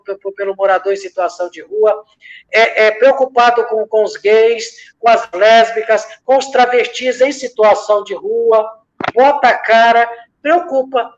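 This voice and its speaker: A man from from that region, a lay missionary reflecting in a church discussion, building his points from parallel examples and often hesitating. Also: high voice. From Minas Gerais, Brazil. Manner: speaks in repeated parallel examples, many hesitations. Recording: dull lo-fi sound.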